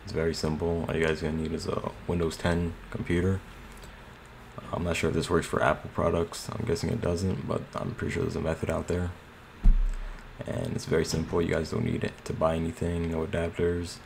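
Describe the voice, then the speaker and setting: Super low creaky guy doing a casual computer tutorial, noisy background. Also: completely flat delivery, a little fast. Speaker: tutorial host. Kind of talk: casual screen tutorial narration. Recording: clear background noise.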